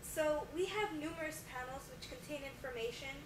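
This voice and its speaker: A woman with a high voice answers as a guest on a mock talk show. She speaks hesitantly, with plenty of fillers along the way. She sits away from the microphone in an echoey space, under a loud layer of noise. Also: a little fast, far from the mic. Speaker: guest scientist.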